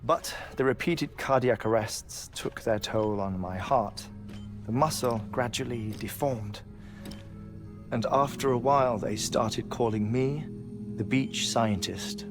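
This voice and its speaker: An actor playing an eccentric, grieving middle-aged scientist in a video game, speaking in a deliberate, theatrical, eloquent way with thoughtful pauses. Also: male voice, medium pitch. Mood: grave, wistful. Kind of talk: dramatic game cutscene acting. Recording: game soundtrack music behind voice. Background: music.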